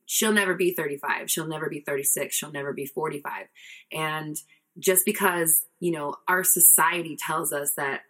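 A woman's voice: low-pitched, steady tone, moderate pace, pushed and bright. A woman in her thirties hosting her own podcast, talking candidly and casually, rambling with lots of "like" and the odd swear word. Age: 30s. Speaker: podcast host. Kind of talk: casual personal talk to camera.

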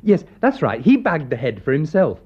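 An actor playing a naive, eager young English medical student in a radio drama, boyish, hesitant and chatty, with an English accent. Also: male voice, a little high, wildly swooping pitch, normal speed, a little mellow, slightly rough, heavily acted. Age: young adult. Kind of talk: British radio drama acting. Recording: dull band-limited radio recording.

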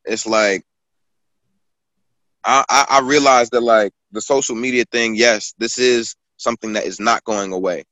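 A man's voice: mid-range voice, fairly even intonation, unhurried, sharp tone, no echo. Rambling young man telling a story. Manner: rambling storytelling with many fillers. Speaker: guest author and poet. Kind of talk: casual conversation.

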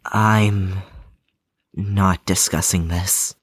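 A man voices a glum, quiet youth in an audio drama. His husky voice sits very deep and moves very slowly, with terse, hesitant phrasing, recorded dry. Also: steady tone, no room sound, heavily acted. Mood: awkward, reluctant. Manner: hesitant, terse, low-key. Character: withdrawn, quiet, glum young psychiatric patient.

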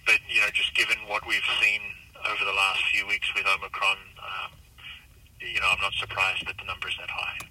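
Low, flat-voiced American man, noisy recording. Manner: hesitant, halting, measured official phrasing. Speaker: city manager being interviewed. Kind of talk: unscripted interview answers.

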